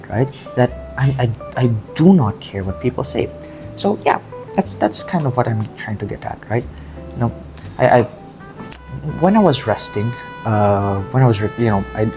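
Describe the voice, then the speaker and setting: A man from Southeast Asia talking casually and earnestly in a reflective vlog, halting and repeating phrases as he goes. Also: on the low side. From Southeast Asia, grew up in Thailand and the Philippines. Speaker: amateur bodybuilder vlogger. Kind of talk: casual confessional vlog talk.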